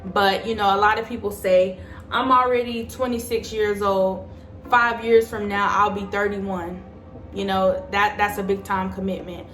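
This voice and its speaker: A woman's voice: medium pitch, steady tone, measured pace, fairly bright tone. Chatty woman in her twenties, echoey room. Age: 20s. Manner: chatty, emphatic, addresses viewers as y'all. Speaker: vlogger. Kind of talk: casual advice chat to camera.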